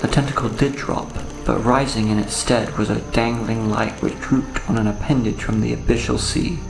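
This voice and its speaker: A man's voice: mid-range voice, fairly even intonation, normal speed, creaky, close to an everyday voice, low-quality recording. An actor playing an anxious, impulsive man in a horror audio drama, speaking with dramatic intensity. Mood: grim, haunted narration. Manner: urgent, dramatic. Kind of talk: horror audio drama dialogue and first-person narration. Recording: music behind the voice.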